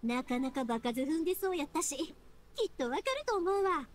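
A woman voicing a bright, earnest young fireworks maker in an anime game, heartfelt and folksy, with a Kansai accent. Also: high voice, expressive, moderate pace. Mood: upbeat. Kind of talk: Japanese anime game voice acting.

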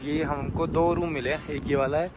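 A young man in his twenties chatting excitedly to his phone camera while on a road trip, dropping English words into casual talk. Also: on the high side, moderate pace, heavy background noise. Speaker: travel vlogger. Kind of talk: casual travel vlog to camera. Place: outdoors on a hillside and in a small hotel room. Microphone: phone held at arm's length.

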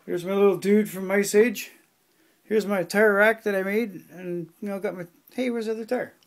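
Rambling high-voiced man in his forties. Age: 40s. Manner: rambling and offhand, many fillers, mild swearing. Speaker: hobbyist vlogger. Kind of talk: casual walkthrough of his workshop. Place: cluttered home workshop, indoors. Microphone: handheld phone camera.

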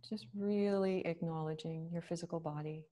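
A woman leading a guided meditation, speaking slowly and gently with long pauses. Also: fairly low pitch, steady tone.

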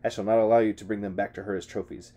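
A man's voice: lowish voice, little pitch movement, rapid-fire, warm tone, lightly acted. A man reading a solemn, sorrowful god. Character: sorrowful all-powerful male god.

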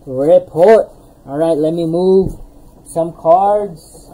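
Woman, card break host, deep dark voice, slow pace, slightly hoarse, faint room hum. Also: low-quality recording. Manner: rattles off player names while opening packs, laughs at herself. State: losing her voice. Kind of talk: live card-breaking commentary. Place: indoor streaming desk with card boxes.

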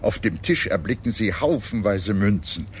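A man reading a scripted history documentary in a measured, expressive storytelling manner. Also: on the low side, slight background noise. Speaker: documentary narrator. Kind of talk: scripted documentary narration with dramatized quotes. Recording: music under the voice. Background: background music.

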